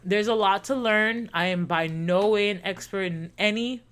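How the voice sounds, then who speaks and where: A woman's voice: medium pitch, moderate pace, clean tone. A woman in her thirties co-hosting a casual podcast, talking earnestly and reflectively, often repeating herself. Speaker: podcast co-host. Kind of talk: casual chat.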